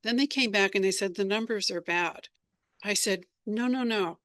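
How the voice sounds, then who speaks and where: An American woman speaks as an interview guest. Her voice sits at a middling pitch, and she tells her anecdotes conversationally, like someone used to explaining her own creative work. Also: normal speed, fairly bright tone. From United States. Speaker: radio drama creator being interviewed.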